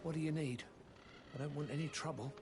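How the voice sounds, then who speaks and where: An actor playing a wary wandering merchant who avoids trouble, in scripted fantasy video game dialogue. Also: male voice, on the high side, fairly even intonation, brisk, lightly acted. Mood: guarded. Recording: quiet voice under loud music. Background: loud music.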